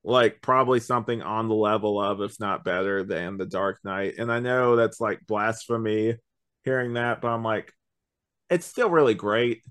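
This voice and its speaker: A man's voice: on the low side, moderate pace, fairly bright tone, smooth. Man chatting casually about his opinions. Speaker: podcast co-host. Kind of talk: casual movie review chat.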